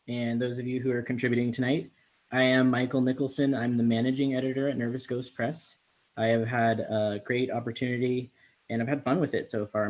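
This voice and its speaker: A man's voice: medium pitch, darkish tone. A young man from the Bay Area introduces a virtual literary reading. His voice sits in a middle register, and he speaks in a loose, chatty way with plenty of fillers. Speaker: managing editor hosting a reading. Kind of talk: casual virtual event hosting.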